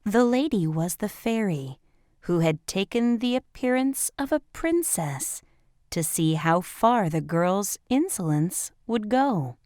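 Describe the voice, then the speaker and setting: A woman narrating a children's bedtime story in an expressive, measured storybook style. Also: medium pitch, dry recording, studio quality.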